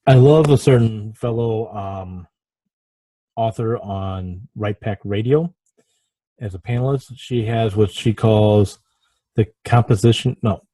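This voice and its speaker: Guy teaching on a podcast, soft dark voice, kinda halting n apologetic, trips on words, really dry sound. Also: fairly low pitch, no echo, rough recording. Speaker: host of a writing podcast. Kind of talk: informal lesson with passages read aloud from a book.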